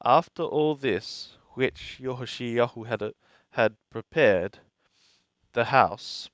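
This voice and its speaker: A man reading scripture aloud and translating it phrase by phrase in a deliberate, halting manner. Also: mid-range voice, dry recording, muffled recording. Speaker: Bible reader and translator.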